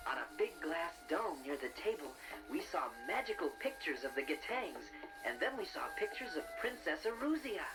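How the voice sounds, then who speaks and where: An actor voicing a friendly, earnest young bear who gently narrates a children's read-along adventure. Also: mid-range voice, very animated, moderate pace, rough recording. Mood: earnest storytelling. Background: music.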